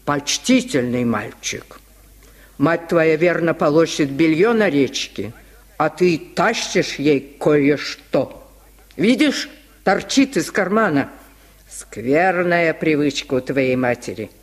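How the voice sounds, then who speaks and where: Man playing slow, stern, condescending town official. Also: on the high side, animated. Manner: slow, preachy, disapproving. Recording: 1950s radio recording, long reverb.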